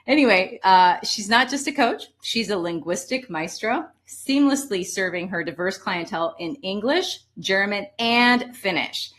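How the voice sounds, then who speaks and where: Woman host, chatty and upbeat, rambles a bit, mid pitch. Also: unhurried, few pauses, a bit bright. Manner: enthusiastic, chatty, rambling storytelling. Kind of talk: hosted interview with guest introduction.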